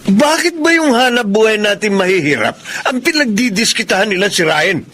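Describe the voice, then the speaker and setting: A man's voice: high-pitched, very animated, fairly quick, pushed and bright, exaggerated voice. A man with a Filipino accent playing a blunt, combative, indignant fisherman in a radio drama. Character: hot-tempered, indignant male fisherman.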